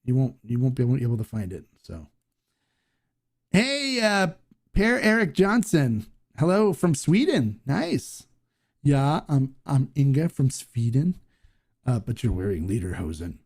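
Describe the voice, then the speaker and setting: A man hosting a casual live Q&A, reading viewer comments aloud and answering in a rambling, halting way. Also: medium pitch, big pitch swings, a little mellow, husky. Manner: rambling, halting, many restarts and fillers. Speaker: host of a live Q&A stream.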